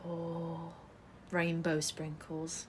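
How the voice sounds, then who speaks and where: An actress in an audio drama playing a troubled, defensive woman who deflects and speaks haltingly. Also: deep voice, completely flat delivery, a little hiss or hum. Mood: evasive, brittle. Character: troubled woman resisting thoughts of her ex. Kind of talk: audio drama acting, poetic dialogue.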